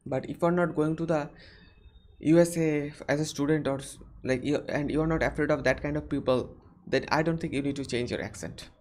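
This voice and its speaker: A man's voice: fairly high pitch, steady tone, fairly quick, darkish tone, slight background noise. A young man with an Indian accent, hosting a casual live question-and-answer stream and rambling with many fillers and restarts. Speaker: livestream host answering viewer questions.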